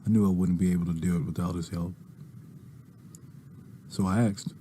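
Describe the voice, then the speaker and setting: A man's voice: sits low, fairly even intonation, moderate pace, dark tone, slight background noise, lightly acted. A man playing an earnest, jittery ex-addict convict, hesitant, repetitive and pleading, in a comic drama. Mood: earnest confession.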